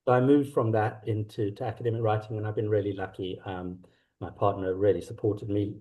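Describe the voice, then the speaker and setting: A middle-aged South African man talking reflectively and haltingly as an author being interviewed. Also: on the low side, level tone, phone-quality sound. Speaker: author guest. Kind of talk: conversational interview about own work.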